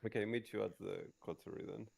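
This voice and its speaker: A man's voice: lowish voice, steady tone, quick pace, creaky, darkish tone, husky. A man chatting casually in character as a player in a tabletop roleplaying game.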